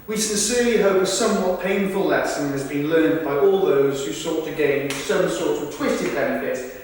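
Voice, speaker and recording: A man performing a rehearsed reading of verbatim texts in a formal, deliberate, projected delivery. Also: a little high, animated, lightly acted. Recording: echoey hall, far from mic, faint noise.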